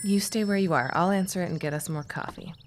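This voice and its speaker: Actress as wry, lower-voiced woman shopkeeper. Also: fast talker, heavy background noise, lightly acted. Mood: wry, casual. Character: wry, practical female shopkeeper, friendly and frank. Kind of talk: period audio drama acting, conversational.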